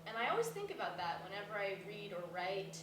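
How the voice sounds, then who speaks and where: A woman's voice: mid-range voice, big pitch swings, fast, natural voice. A woman acting a blunt, talkative, self-deprecating woman in casual, naturalistic dialogue at a staged play reading. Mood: self-deprecating, candid. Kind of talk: naturalistic stage dialogue before a live audience. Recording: echoey, noisy room recorded from far off.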